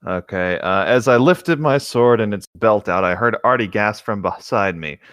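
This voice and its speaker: A man's voice: on the low side, normal speed, a bit bright, smooth, no echo, studio quality. A young man chatting casually with friends and reading a story aloud as a co-host.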